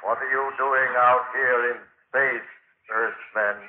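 A man's voice: mid-range voice, fairly even intonation, slow, slight background noise, natural voice. A man playing a superior, menacing Martian invader in an old science fiction radio drama, commanding and ominous. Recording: echoey, tinny band-limited old radio.

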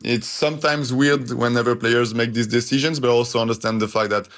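A young man, a football fan guest, talking earnestly on a radio show with some halting, slightly non-native phrasing. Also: medium pitch, steady tone, a bit bright. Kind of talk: live radio interview.

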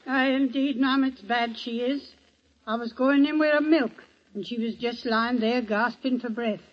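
An actress playing a chatty boarding-house helper who tells everything with great drama, in an old radio play. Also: female voice, on the high side, fairly even intonation, measured pace. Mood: gabbing. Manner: garrulous, dramatic storytelling. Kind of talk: 1940s radio acting. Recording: dull old radio recording.

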